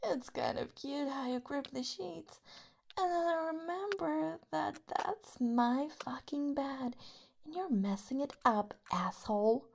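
A young woman acting out a furious, domineering woman who curses constantly, snapping loudly and then dropping to low whispers. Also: takes it slowly, vocal fry, a little hiss or hum, full character voice. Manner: profane, snapping outbursts that drop to low whispers. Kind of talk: audio roleplay acting.

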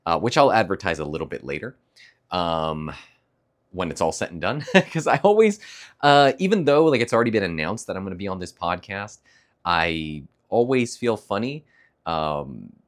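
Stuffy-nosed man chatting, lively and low. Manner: chatty, rambling, laughs often. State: stuffy nose from allergies. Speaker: streamer. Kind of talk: casual morning check-in vlog.

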